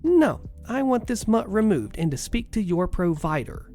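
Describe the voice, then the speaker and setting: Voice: big pitch swings, normal speed, dark tone, soft background music. A storyteller voicing a rude, entitled middle-aged woman patient who screeches and sneers. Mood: scornful. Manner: shrill, screeching and scornful.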